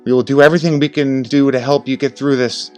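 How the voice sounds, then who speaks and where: Soothing young woman paramedic, acted by a male narrator, raised pitch, helpful and kind, horror story. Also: fairly even intonation, quick pace, soft background music, natural voice.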